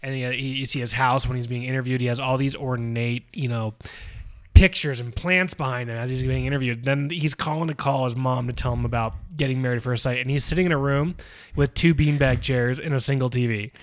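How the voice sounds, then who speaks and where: A man co-hosting a casual podcast recap, animated and sarcastic, slipping into mocking impressions of people. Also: mid-range voice, fairly quick. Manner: animated, mocks people with put-on quotes. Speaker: podcast co-host. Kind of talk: casual reality-TV recap chat.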